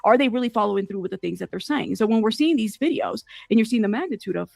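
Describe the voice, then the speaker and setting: A woman's voice: on the high side, lively intonation, fast talker, vocal fry, darkish tone, no room sound, studio quality. A woman conducting a casual interview, earnest and opinionated in the way she talks.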